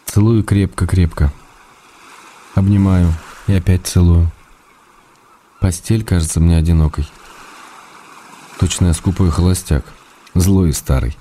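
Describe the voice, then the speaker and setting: An actor playing a tender, wry husband reading his love letters aloud in a soft, unhurried radio drama manner. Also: low-pitched, a little hiss or hum, lightly acted. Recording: music behind the voice.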